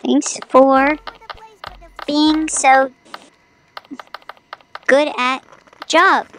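A woman's voice: sits high, fairly even intonation, extremely slow, fairly bright tone, slight background noise. A woman streaming a video game with chatty, playful, mock-dramatic commentary. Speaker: gaming streamer. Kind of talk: casual livestream gameplay commentary.

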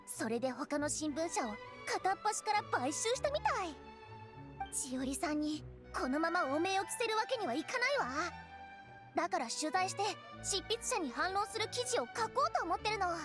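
A woman's voice: extremely high pitch, big pitch swings, moderate pace, over music. An actress playing an earnest, righteous young female reporter in a game, speaking eagerly and emphatically. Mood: righteous indignation. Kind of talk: Japanese game voice acting.

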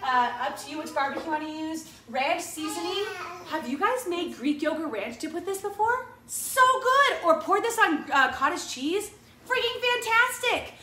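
A woman's voice: high-pitched, big pitch swings, moderate pace, sharp tone. A woman in her thirties chatting casually to viewers as she cooks in her home kitchen, upbeat and self-mocking, recorded by a camera across the room. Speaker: home cooking vlogger. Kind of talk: casual cooking demo to camera. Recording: echoey kitchen, distant mic, background noise.

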